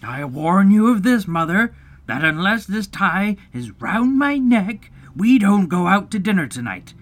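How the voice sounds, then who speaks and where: A woman's voice: a bass voice, lively intonation, a little slow, darkish tone, no room sound, pitched below the performer's own voice. Lady doing a pompous storybook dad, stern n sarcastic, voice swooping all over, dry close sound. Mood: stern, blustering. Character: blustering, pompous, self-pitying middle-aged husband and father.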